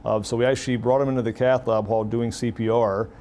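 A male doctor explaining in an interview, measured and using fillers, in a hospital room. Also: medium pitch, flat delivery, a little mellow, slight background noise.

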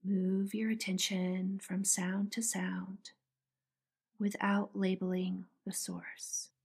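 A woman softly narrating a guided meditation in a soothing, breathy manner. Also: medium pitch, flat delivery, slow pace. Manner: soft, breathy, soothing delivery.

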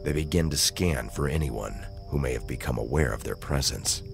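Man as thriller narrator, extremely low pitch, grave, scripted audio drama storytelling, music behind. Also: moderate pace, gravelly.

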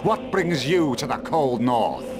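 An actor playing a fierce, hearty northern warrior chieftain in a fantasy game, bold and boisterous. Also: male voice, medium pitch, big pitch swings, slow pace, noisy background. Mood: hearty, bloodthirsty. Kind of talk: fantasy video game cutscene acting. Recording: loud music under the voice.

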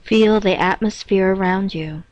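A woman reading a scripted guided meditation in a measured, instructing manner with pauses between phrases. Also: medium pitch, a little mellow. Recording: dull, roomy echoing lo-fi recording.

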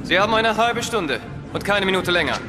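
High-voiced curt government minister. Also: male voice, expressive, brisk, music in the background. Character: curt, unyielding interior minister of 1848. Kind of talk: historical docudrama acting.

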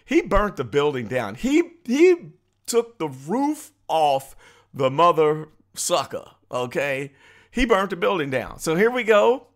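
Animated, higher-voiced American man reacting. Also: slow pace. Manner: animated, slangy, amused. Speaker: political commentary video host. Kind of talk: casual reaction commentary.

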